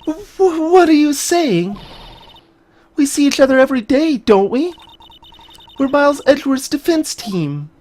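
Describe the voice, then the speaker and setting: A woman voicing an earnest, expressive young female legal assistant in a video game, easily startled. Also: sits high, unhurried, pauses often. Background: faint game music.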